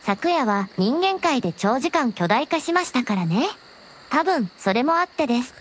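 A woman playing a gentle, very polite dragon princess with a softly teasing manner in a scripted audio drama. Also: high-pitched, sing-song, normal speed, a little hiss or hum. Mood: gentle, earnest. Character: gentle polite dragon princess, a shrine maiden's alternate self.

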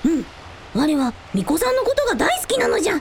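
A woman's voice: extremely high pitch, big pitch swings, fast talker, vocal fry, noisy background, exaggerated voice. A woman playing a young, earnest dragon prince in a radio drama, speaking in archaic lordly phrases with childlike openness. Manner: old-fashioned lordly speech with childlike openness. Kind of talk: Japanese radio drama acting.

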